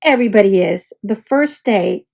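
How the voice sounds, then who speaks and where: A woman voicing a kindly frog mother in a children's storybook, soothing and gently chiding. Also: mid-range voice, expressive, normal speed, gravelly, voice pushed down. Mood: reassuring.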